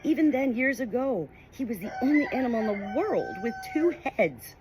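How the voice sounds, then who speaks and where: A woman reading a children's audiobook aloud in an expressive, informal way. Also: a little high, moderate pace, background noise. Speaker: audiobook reader. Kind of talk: children's storybook narration.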